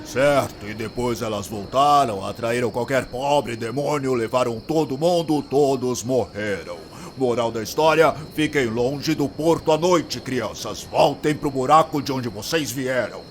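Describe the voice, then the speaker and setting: A man's voice: medium pitch, unhurried, few pauses, a little hiss or hum, close to an everyday voice. An actor playing a grumpy, drunk old ex-sailor, a man who speaks in a curt, mocking, dismissive way in an audio drama. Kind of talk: sarcastic character acting in an audio drama.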